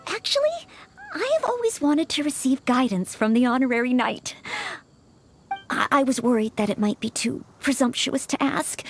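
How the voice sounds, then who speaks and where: An actress playing a polite, eager young maid who dreams of knighthood in a video game, speaking with apologetic hesitance. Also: female voice, sits high, a little hiss or hum.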